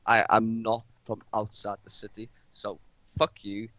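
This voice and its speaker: A man's voice: fairly low pitch, measured pace, dull audio. Sweary Scouse man calling in. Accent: Liverpool Scouse. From Liverpool, England. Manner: blunt, sweary, excitable. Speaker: caller. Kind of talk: heated call-in football chat.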